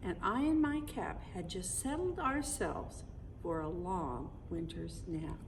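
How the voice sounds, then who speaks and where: A woman reading a picture book aloud in an expressive, animated way, adding chatty asides for young listeners. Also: lowish voice, slow, creaky. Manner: animated, emphatic storytelling with conversational commentary. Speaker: storybook reader. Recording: distant mic with audible background noise.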